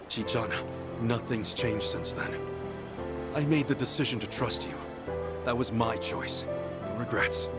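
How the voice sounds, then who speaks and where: An actor playing a loyal, brash street-brawler hero in a video game, heartfelt and rough-edged. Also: male voice, mid-range voice, slow, pauses often, natural voice. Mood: earnest reassurance. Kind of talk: dramatic video game cutscene acting. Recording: music under the voice.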